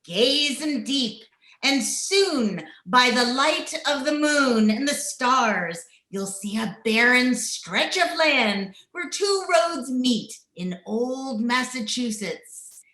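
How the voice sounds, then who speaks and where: A woman's voice: high voice, animated, slow pace, sharp tone, exaggerated voice. A woman playing a cackling witch well over a hundred years old, telling eerie tales in archaic folksy speech in an old-time radio horror style. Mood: eerie, relishing. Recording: roomy, echoing home recording over video call.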